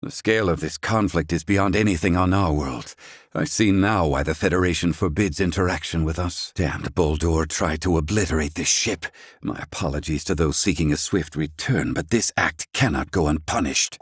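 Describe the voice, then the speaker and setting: A low, dry-recorded male voice for a brilliant, proud inventor in a fantasy video game. He is middle-aged and gruff. His diction is formal and clipped, with a haughty theatrical weight. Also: normal speed, no echo. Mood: stern, scornful. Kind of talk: dramatic video game voice acting.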